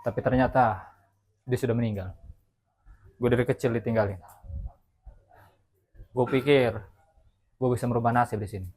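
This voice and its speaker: A man's voice: mid-range voice, fairly even intonation, normal speed, pauses often, a little mellow, natural voice. A man playing a brooding street fighter, Murayama.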